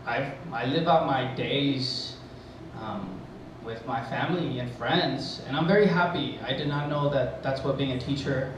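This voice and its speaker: A young man born in Mexico whose first language is Spanish, telling a personal story as a high school teacher at a live event, pausing often with fillers. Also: background noise. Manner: hesitant, many pauses and fillers, mixes in Spanish. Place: event hall. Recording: echoey hall, mic far off.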